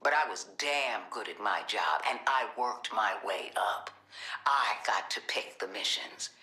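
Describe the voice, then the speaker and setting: An actress playing a commanding middle-aged government director in a video game, measured and coolly manipulative. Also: female voice, rumbling low, expressive, sharp tone, roomy sound, full character voice. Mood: hard, self-assured. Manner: measured, authoritative.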